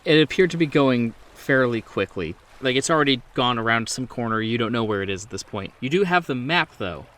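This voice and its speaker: A man's voice: mid-range voice, expressive, fairly quick, few pauses, a bit bright. A man running a tabletop horror game as game master, narrating scenes off the cuff. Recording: clear boat engine ambience behind.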